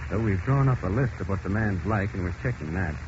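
An actor playing a determined, methodical FBI investigator in a 1940s radio drama, businesslike in manner. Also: male voice, low voice, animated, brisk. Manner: businesslike, reasoning step by step. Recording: muffled 1940s radio recording, faint noise.

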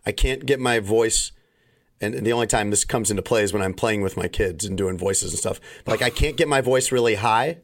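A man hosting a podcast in casual chat, talking in an animated way, his voice still not fully recovered. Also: on the low side, brisk, a little hiss or hum. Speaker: podcast host.

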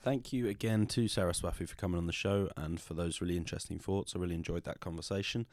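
A man's voice: low-pitched, fairly even intonation, fast, darkish tone, no echo. A British man presenting a podcast as host in a conversational style.

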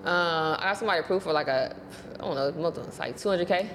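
A woman's voice: fairly low pitch, fast talker, long reverb tail, background noise. A woman guest in a casual interview, talking loosely with a lot of "like" and "so". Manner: loose and chatty, heavy use of "like" and "so". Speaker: guest, credit repair business owner.

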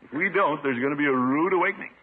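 An actor playing a pompous, blustering middle-aged uncle who chuckles and preaches in a 1950s radio sitcom. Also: male voice, a little high, racing, slightly rough. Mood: preachy. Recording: muffled 1950s radio broadcast.

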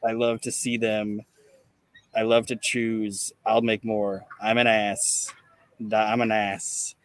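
A man hosting a casual comedy podcast, chatting and reading things out in a deadpan way. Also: on the low side, slow, no room sound. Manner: deadpan, monotone when reading. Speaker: podcast host. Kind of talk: casual comedic podcast chat with mock recitation.

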